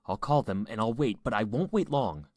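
A man's voice: medium pitch, lively intonation, moderate pace, darkish tone, no echo, studio quality, pitched above the performer's own voice, natural voice. A man voicing a tender, protective father and husband in an audiobook story, earnest and anxious. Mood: worried, urgent. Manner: earnest, emphatic.

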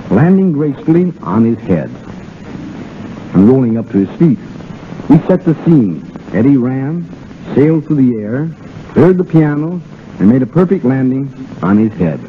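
An American man as a guest on 1930s radio, giving scripted banter in a wisecracking, colloquial way. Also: mid-range voice. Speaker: radio guest, dance director. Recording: faintly noisy, narrow-band 1930s radio.

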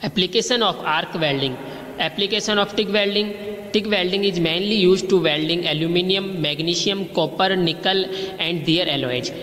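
Indian guy teaching, high voice, reads lists off slides slow, echoey room, faint hiss. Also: sharp tone. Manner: reads lists slowly, with restarts. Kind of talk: reading slides in a lecture.

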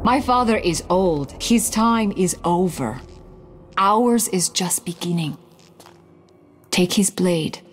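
Intense, animated young zealot. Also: female voice, lowish voice, takes it slowly, long pauses, slight background noise. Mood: fervent urging. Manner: intense, imperious, dramatic. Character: commanding young woman, zealous heiress of assassins. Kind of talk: video game cutscene acting.

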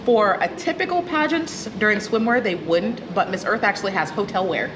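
A woman's voice: mid-range voice, lively intonation, moderate pace. A woman hosting a casual chat, talking in a chatty way and often giggling. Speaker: host. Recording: noisy background.

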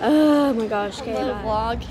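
A teenage girl vlogging on a phone held at arm's length in a busy restaurant, gushing and giggly as she talks fast and excitedly. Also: fairly high pitch, a crowd in the background. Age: teens. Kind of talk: excited casual vlog chat. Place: indoor restaurant at a competition venue.

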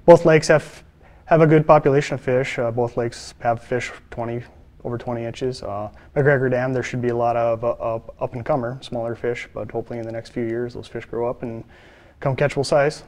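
A man's voice: medium pitch, fairly even intonation, a little fast, a little mellow. Male biologist in his thirties, ordinary mid-range voice, plain studio answers full of uhs. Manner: plain, matter-of-fact, with many filler uhs. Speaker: fisheries biologist. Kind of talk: interview answers. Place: studio, seated.

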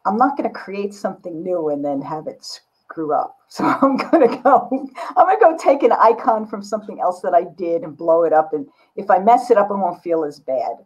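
An older woman with a husky voice, chatting casually and informally as an artist on a video call. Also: fairly low pitch, a little mellow, poor audio. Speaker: visual artist, guest. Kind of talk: casual chat explaining own artwork. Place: book-lined home studio, indoors. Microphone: computer mic on a video call.

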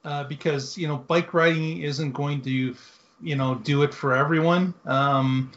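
A man speaking in a measured, hesitant way full of fillers in a panel chat over a video call. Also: fairly high pitch, fairly even intonation, a bit bright. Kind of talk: casual panel discussion. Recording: dull lo-fi video call audio.

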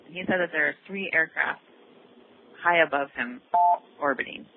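A woman's voice: low-pitched, normal speed. A woman working as an air traffic controller, giving radio instructions and casual operational replies. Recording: tinny air traffic radio with faint noise.

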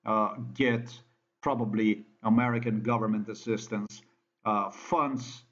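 A man from Slovenia with a Slovenian accent, speaking English as a second language in a halting monologue with many fillers and restarts. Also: on the low side, steady tone, a bit bright, highs cut off. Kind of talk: monologue over a screen recording.

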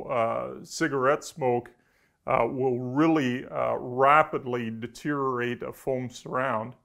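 A middle-aged man, explaining informally to camera as an engineer, in a halting, conversational way with repeated words. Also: medium pitch, a bit bright. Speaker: audio engineer presenting. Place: indoor studio with backdrop.

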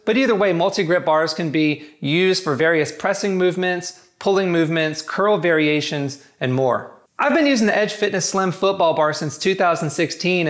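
A man's voice: a little high, fairly bright tone. A man giving a scripted, matter-of-fact review of home gym barbells. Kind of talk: scripted product review narration.

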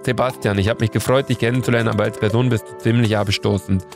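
Excitable young man with a cold. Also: lowish voice, fast. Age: young adult. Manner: excitable, reads answers aloud, swears casually. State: has a cold. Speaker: streamer playing a meme quiz. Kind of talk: casual gaming commentary. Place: indoor room at a desk. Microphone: studio mic near the face. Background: background music.

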